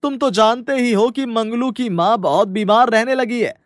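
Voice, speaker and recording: A man's voice: very high voice, moderate pace, no room sound, clean studio sound. A man voicing an impatient village father of a groom in a cartoon, talking in a firm, pressing way.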